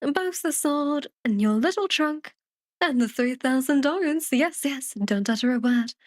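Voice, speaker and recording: Woman voicing a fussy, higher-pitched old man. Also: measured pace, dry recording, studio quality. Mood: fussy, animated. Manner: rambling, animated, fussing. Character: garrulous old man, fussy, self-deprecating visitor at a bedside.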